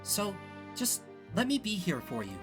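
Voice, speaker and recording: A man voicing a gentle, caring young woman in a visual novel, soft and earnest and easily flustered. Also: sits high, wildly swooping pitch, measured pace, over music, voice pushed up, full character voice. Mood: tender, comforting. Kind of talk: visual novel character dialogue.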